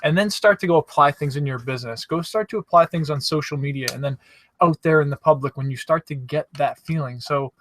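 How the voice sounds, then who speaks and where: A man's voice: on the high side, fairly quick, a bit bright, a little hiss or hum. A man hosting a live show, coaching viewers on making videos in an upbeat, encouraging way and speaking straight to them. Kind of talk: casual motivational coaching talk. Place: campsite.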